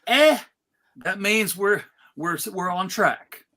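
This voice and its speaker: A man's voice: sits high, animated, slow, pushed and bright. A man hosting a casual panel on a video call, with a playful, jokey manner. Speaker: panel host and convention track co-director. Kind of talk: casual unscripted panel introductions.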